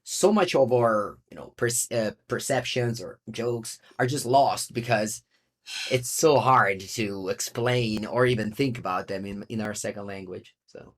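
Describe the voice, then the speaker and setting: A man's voice: on the low side, fairly bright tone. A man co-hosting a podcast. He talks casually and often stops to restart with "uh". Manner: halting, with many "uh" restarts. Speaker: podcast co-host. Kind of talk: casual chat.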